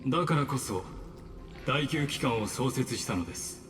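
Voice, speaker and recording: An actor playing a courteous, coolly scheming villain in a Japanese video game, formal and insinuating. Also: male voice, medium pitch, animated, fast talker, natural voice. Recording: long reverb under loud game music.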